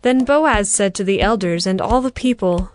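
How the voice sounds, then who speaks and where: A woman's voice: medium pitch, normal speed. A woman narrator reading scripted scripture in a formal storytelling manner.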